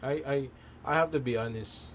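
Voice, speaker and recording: A man's voice: medium pitch, brisk. A man in a casual discussion, hesitant and repeating his words. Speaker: discussion guest. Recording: faint background noise.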